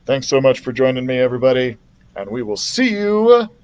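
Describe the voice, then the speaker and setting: A man reading a children's adventure novel aloud as a story-time host, with an animated, theatrical delivery. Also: medium pitch, a little fast, clean tone, highs cut off.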